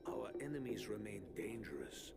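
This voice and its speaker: An actor voicing a calm, formal old male rat sensei who speaks with measured gravity. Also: on the low side, vocal fry, heavily acted. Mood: grave. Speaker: martial arts master and father. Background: game music.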